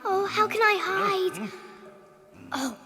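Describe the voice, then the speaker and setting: A female performer playing a small, plucky, frightened young girl with breathy, anxious delivery in a dramatized audiobook. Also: very high-pitched, lively intonation, takes it slowly, in a large hall, background noise, heavily acted.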